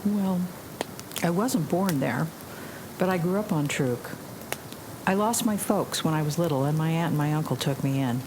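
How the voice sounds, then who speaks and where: A woman's voice: sits low, normal speed, long pauses, natural voice. An actress playing a plucky, wry young woman pilot in a 1940s wartime audio drama, playful and teasing. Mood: earnest. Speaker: actor. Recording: noticeable noise behind the voice.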